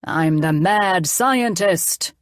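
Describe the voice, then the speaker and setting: A woman's voice: lowish voice, expressive, drawn-out words, no echo, clean studio sound. A woman voicing an easygoing, playful adult man who likes to act the mock-menacing mad scientist in an audiobook.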